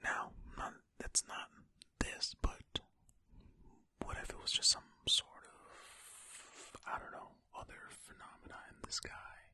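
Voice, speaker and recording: An ASMR host reading a story in a soft, breathy, unvoiced whisper, close to the mic, with conversational, musing asides. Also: takes it slowly. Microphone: close mic, ear to ear.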